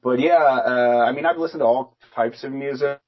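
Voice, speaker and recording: A man's voice: medium pitch, brisk. A young adult man from Philadelphia, a musician guest chatting casually and rambling with lots of fillers and restarts. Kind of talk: casual interview chat. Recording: dull lo-fi remote audio.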